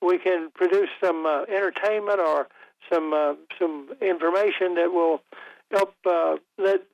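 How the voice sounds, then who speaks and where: Gravelly older man hosting call-in radio. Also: high voice, steady tone, dry recording. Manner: halting, many ums and restarts. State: voice slightly rough after illness. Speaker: radio talk show host. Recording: dry, tinny broadcast audio.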